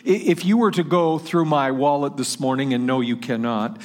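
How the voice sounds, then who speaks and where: Guy pastor preaching, chatty and relaxed, keeps restarting sentences. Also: medium pitch, normal speed. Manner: conversational preaching with repeated restarts. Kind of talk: sermon.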